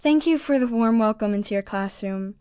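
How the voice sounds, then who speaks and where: Woman acting a high-voiced, bold young girl. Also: fairly quick, dark tone, clear, resonant tone, close to an everyday voice. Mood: eager, confiding. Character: bold, quick-witted time-travelling twin girl. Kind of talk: scripted audio drama acting.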